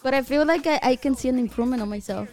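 A woman podcast host with an accent, speaking earnestly in halting phrases and swearing casually. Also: sits high, a little mellow. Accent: non-native English. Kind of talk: casual chat. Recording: music playing in the background.

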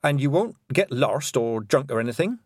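A man's voice: medium pitch, expressive, moderate pace, husky, no echo, studio quality, pitched above the performer's own voice. A man voicing a determined young girl witch who speaks earnestly.

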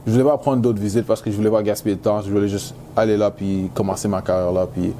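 Mellow young Quebec man, English-speaking, noisy recording. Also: fairly low pitch, flat delivery, fast talker. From Montreal, Quebec, Canada. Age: young adult. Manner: peppers French with English slang and phrases. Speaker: university football player, interview guest. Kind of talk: casual interview chat.

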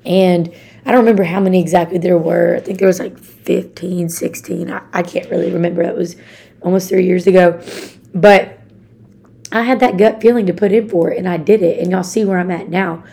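A young woman from Arkansas with a raspy voice, hosting a casual motivational podcast in an upbeat, chatty way and talking straight to her listeners. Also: lowish voice, fairly even intonation, brisk, dark tone. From Arkansas, USA. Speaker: podcast host.

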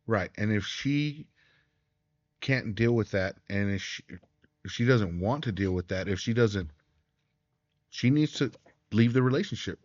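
Low-voiced man, dry lo-fi sound. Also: animated, unhurried, long pauses, no room sound. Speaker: podcast co-host. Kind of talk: casual chat.

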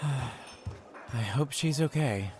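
A man's voice: mid-range voice, drawn-out words, a little mellow. A man playing an awkward, skeptical young boyfriend in an audio drama, speaking in a hesitant, dry, blunt way. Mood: awkward, uneasy. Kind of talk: naturalistic audio drama acting. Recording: noticeable background ambience noise.

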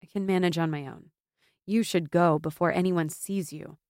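A woman's voice: on the low side, fairly even intonation, moderate pace, a little mellow, dry recording, studio quality, natural voice. A woman voicing a proud, bitter exiled warrior noblewoman in a fantasy audiobook, firm and wry. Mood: gentle, firm.

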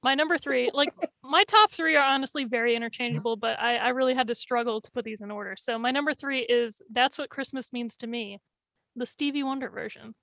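Expressive higher-pitched woman, jokey podcast guest. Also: fairly quick, gravelly, no echo, rough recording. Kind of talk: casual chat.

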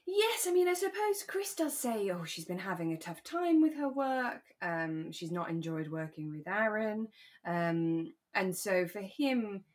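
A woman with a British accent co-hosting a relaxed recap chat, retelling things in an expressive, conversational way. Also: medium pitch, a little slow. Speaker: podcast co-host.

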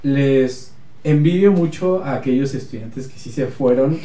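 A man's voice: mid-range voice, measured pace, mellow, recorded from a distance, slight background noise. A man with a Mexican accent interviewing a guest, asking long, rambling questions with many restarts. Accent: Mexican Spanish. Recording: echoey room, voice set back from the mic.

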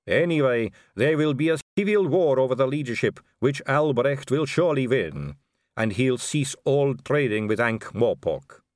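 A man voicing an aristocratic lady vampire in an audiobook, smooth, measured, knowing and condescending. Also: mid-range voice, lively intonation, no echo, studio quality, voice pushed up, natural voice. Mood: knowing, weary. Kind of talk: audiobook character dialogue.

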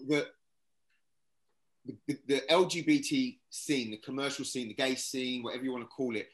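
A man, a guest in a casual video-call chat, telling stories in an animated way and often restarting his sentences. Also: mid-range voice, normal speed. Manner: animated storyteller, repeats himself.

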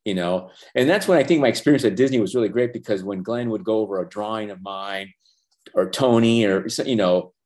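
An older man whose first language is Spanish, talking earnestly in a casual interview, halting with many restarts and fillers. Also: lowish voice, a little mellow. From Los Angeles. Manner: earnest, reflective, faith-centered. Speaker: animation professor and former feature animator, guest.

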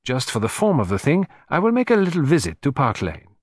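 A shrewd little foreign detective, played by a male narrator, courteous and precise with a playful, teasing streak. The pitch is very lively and the tone slightly husky, in a storybook reading. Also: brisk. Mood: musing, amused. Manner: polite, precise, teasing, with French phrases.

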